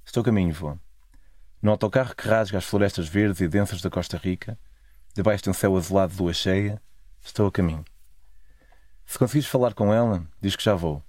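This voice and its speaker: Guy w european portuguese accent telling his travel story, lowish voice, reads quick, dry close sound. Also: a little mellow, no room sound. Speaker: narrator of own travel stories. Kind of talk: first-person literary storytelling, scripted narration.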